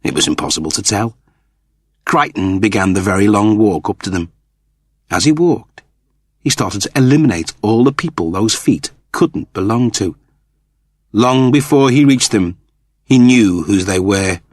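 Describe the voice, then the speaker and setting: Husky low-voiced male story narrator. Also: animated, moderate pace, no room sound, studio quality. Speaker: audiobook narrator. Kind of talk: scripted dramatic story narration.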